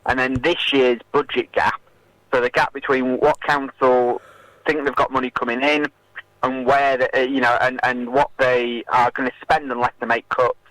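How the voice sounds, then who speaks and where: A man speaking as an activist guest in a radio interview, making a political argument in a hesitant, halting way with many filled pauses. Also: mid-range voice, flat delivery, a bit bright, dry recording, rough recording.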